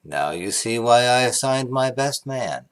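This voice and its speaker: An actor playing a blustery, earnest and slightly slow-witted police inspector, brusque and emphatic, in old-time radio mystery style. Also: male voice, mid-range voice, expressive, clean tone, lightly acted. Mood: explaining.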